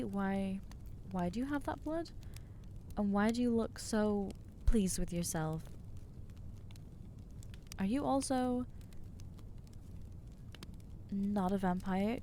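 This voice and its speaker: A woman voicing an anxious, guilt-ridden new vampire in an ASMR roleplay, halting and self-doubting, with wry exasperation. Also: mid-range voice, warm tone, slight background noise. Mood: alarmed, suspicious. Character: anxious newly turned female vampire, guilty and overwhelmed. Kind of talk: ASMR roleplay acting to the listener.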